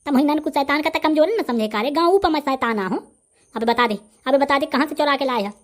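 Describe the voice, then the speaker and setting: A man's voice: very high voice, fast. A man with a Bundelkhandi accent voicing a short-tempered, boastful village bully who rants threats in a comic cartoon. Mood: menacing.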